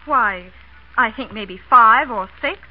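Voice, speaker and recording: An actress playing a puzzled, eager housewife in a 1930s radio advertisement. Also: female voice, a little high, slow pace. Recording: tinny, noisy 1930s radio broadcast.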